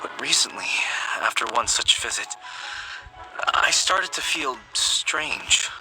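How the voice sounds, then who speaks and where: A man's voice: mid-range voice, lively intonation, takes it slowly, rough recording. Anxious, hesitant young guy over music. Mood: troubled, brooding. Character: anxious, haunted young man troubled by dreams. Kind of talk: video game voice acting.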